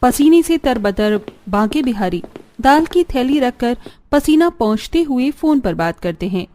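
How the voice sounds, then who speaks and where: A woman's voice: on the high side, expressive, a little slow, warm tone. A woman narrator reading a scripted cartoon family story.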